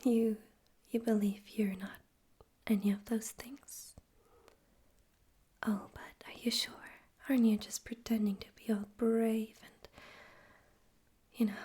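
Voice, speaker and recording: A woman voicing a smug, politely menacing male radio demon overlord in a soft, breathy, theatrical whisper for an ASMR roleplay. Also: fairly high pitch, steady tone, heavily acted. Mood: taunting, curious. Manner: slow, teasing, theatrical, condescending.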